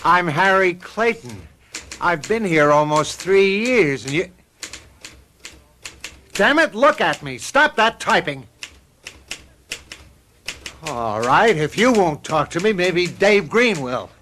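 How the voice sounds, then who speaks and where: An actor playing a brash, irritable advertising copywriter in a radio drama, blunt and insistent. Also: male voice, sits high, sing-song, slow pace, long pauses, pushed and bright, a little hiss or hum, heavily acted. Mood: exasperated.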